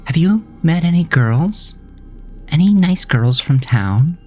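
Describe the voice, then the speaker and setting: Man voicing a soft, eerily sweet ghostly mother. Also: a little high, animated, slow, soft background music, pitched above the performer's own voice. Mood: gently chiding. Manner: motherly, gently chiding. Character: uncanny dead mother apparition, sweetly nagging, wistful. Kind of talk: horror audio drama acting.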